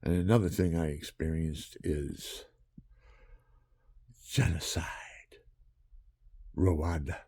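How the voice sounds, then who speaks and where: A man telling a rambling personal story in a monologue, jumping between loud outbursts and low mutters. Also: steady tone, slow pace, pauses often, no room sound, clean studio sound. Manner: calls himself low-voiced.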